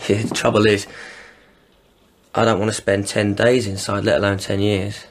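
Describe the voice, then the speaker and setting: An actor playing a young army deserter with a gun, sarcastic, bitter and volatile, in a radio drama. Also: male voice, lowish voice, little pitch movement, normal speed, slight background noise, natural voice. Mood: sardonic. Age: young adult. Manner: sarcastic, taunting.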